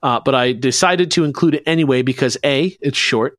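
A man's voice: medium pitch, fairly quick, fairly bright tone, no room sound, clean studio sound. A man hosting a podcast, explaining things in a casual, rambling, conversational way. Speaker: podcast host presenting a researched topic.

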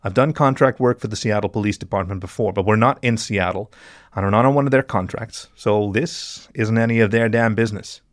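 A man's voice: lowish voice, brisk, no echo, studio quality, natural voice. Man playing a firm, probing private detective. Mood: calm, firm. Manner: firm, pressing, controlled.

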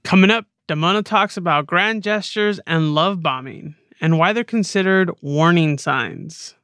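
A man co-hosting a podcast, chatting casually and reflectively about himself in a halting, colloquial way. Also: sits high, pushed and bright, clear, resonant tone, no echo, studio quality. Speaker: podcast co-host.

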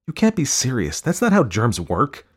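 A man's voice: lively intonation, brisk, a little mellow, lightly acted. A man voicing a defiant, exasperated wife with an indignant manner in an audiobook story. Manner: indignant, raised pitch.

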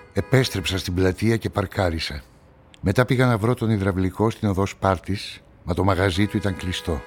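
An actor playing a guarded amateur sleuth, telling a crime story in measured, reflective radio-play narration and dialogue. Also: male voice, sits low, darkish tone, slight background noise, lightly acted.

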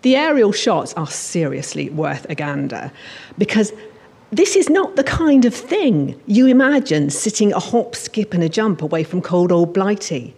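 A woman writer reading her first-person crime fiction aloud at a live event, with an expressive storytelling delivery. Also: mid-range voice, unhurried, breathy edge. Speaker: writer reading own work on stage. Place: on stage at a live showcase event in a hall.

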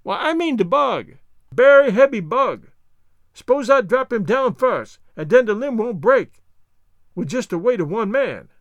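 A storybook servant, simple and obliging. His high voice rises and falls freely in folksy dialect, always literal-minded and eager to please. The sound is dry and close. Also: sing-song, unhurried, no echo, highs cut off, exaggerated voice. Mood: earnest, hesitant. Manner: folksy nonstandard dialect speech.

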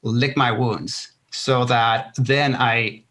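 A man with Spanish as his first language, raised in the Netherlands by Chilean parents, talks as a guest coach. His voice sits at an ordinary middle pitch. He explains ideas step by step in a calm, reflective manner. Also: extremely slow. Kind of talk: interview conversation.

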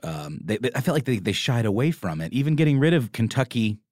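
A man's voice: medium pitch, big pitch swings, fast, darkish tone, no room sound, studio quality. An American man co-hosting a podcast with casual banter and commentary, jokey and playing along with feigned curiosity. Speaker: podcast co-host.

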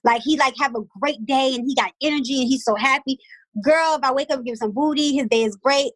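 A woman's voice: high-pitched, fast, fairly bright tone, rough recording. A woman in her thirties chatting as a guest, candid and slangy, often laughing as she tells stories. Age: 30s. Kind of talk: casual chat.

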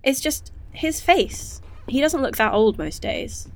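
A woman's voice: high voice, very animated, slow, heavily acted. A woman playing an earnest young scientist displaced in time, in an audio drama.

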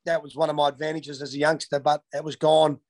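A man's voice: fairly high pitch, little pitch movement, fast talker, a little mellow. An Australian man from Western Australia in his thirties, answering interview questions casually with many ums and restarts. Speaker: interviewee, former footballer.

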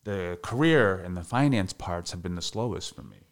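Deep-voiced reflective man in his thirties. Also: lively intonation, moderate pace. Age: 30s. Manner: reflective, candid, frequent fillers. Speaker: podcast guest. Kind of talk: casual interview talk.

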